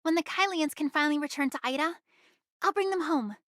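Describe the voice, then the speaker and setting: A woman's voice: sits high, a little fast, fairly bright tone, no room sound, clean studio sound. A woman voicing a feisty, proud but caring young female smuggler in an anime video game, brash and theatrical. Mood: earnest, wistful.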